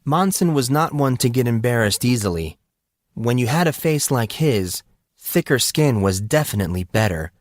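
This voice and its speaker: A man giving scripted audiobook narration in a measured, expressive storytelling manner. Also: mid-range voice, no echo, clean studio sound.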